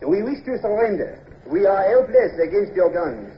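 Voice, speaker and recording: A man's voice: high voice, big pitch swings, normal speed. An actor playing a nervous, submissive French pirate crewman, pleading and hesitant, in a radio drama. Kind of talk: old-time radio drama acting. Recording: dull, noisy old radio recording.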